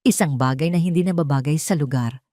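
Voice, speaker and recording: A woman's voice: low-pitched, fast, warm tone, dry recording, studio quality. A woman narrating a scripted dramatic love story as an audio drama.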